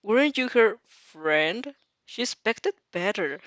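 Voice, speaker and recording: A woman's voice: medium pitch, expressive, slow pace, fairly bright tone, dry recording, dull audio. A woman plays a stern, ambitious teenage boy in a hero story. He speaks as a challenger, serious and defiant, in a dry recording. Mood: taunting.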